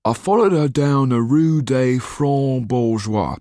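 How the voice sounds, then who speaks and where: A man's voice: mid-range voice, takes it slowly, warm tone, dry recording, clean studio sound, pitched above the performer's own voice, lightly acted. A man voicing a greedy, grumbling, colloquial informant in a historical audiobook. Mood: gossiping. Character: big-headed, greedy, grumbling young man, a lowly informant.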